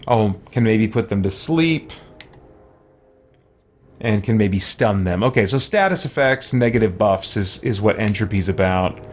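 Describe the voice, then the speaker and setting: A man's voice: lowish voice, darkish tone. Man thinking aloud through a video game. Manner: mutters to himself, reading game text aloud between musings. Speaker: let's play host. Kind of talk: casual gaming commentary, thinking aloud.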